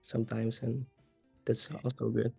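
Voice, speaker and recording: A man's voice: mid-range voice, completely flat delivery, moderate pace, gravelly, warm tone, breathy edge, no echo, soft background music. A young man from Austria, a native German speaker, chatting openly as an interview guest, with fillers and restarts. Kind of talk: casual conversation.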